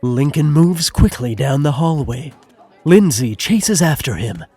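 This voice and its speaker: A man narrating a scripted comedic audio drama in a measured, wry way. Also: on the high side, lively intonation, a little mellow, husky, soft background music.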